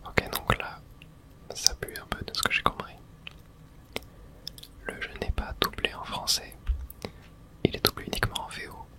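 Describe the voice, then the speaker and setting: A person whispering softly and gently, with no voice in it, close to the microphone, as the host of an ASMR game playthrough. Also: moderate pace, long pauses. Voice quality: soft breathy unvoiced whisper. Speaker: video game streamer and host.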